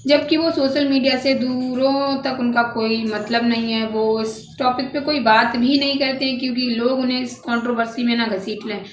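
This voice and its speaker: A woman's voice: on the high side, steady tone, moderate pace, few pauses. A woman giving informal gossip commentary to her viewers, speaking in a repetitive, emphatic and disapproving way. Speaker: social media commentator. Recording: roomy echo, distant mic, background noise.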